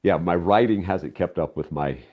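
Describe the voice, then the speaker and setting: A man's voice: deep voice, normal speed, phone-quality sound. An older man talking conversationally as an interview guest, explaining his craft as a director with emphatic points and short acted-out examples. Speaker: guest, audio drama director and writer. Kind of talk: conversational interview with practical advice.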